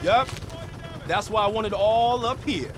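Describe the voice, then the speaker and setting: A man's voice: high-pitched, unhurried. An actor playing a streetwise male soldier who talks in a casual, wisecracking way. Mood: wry. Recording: helicopter and gunfire noise.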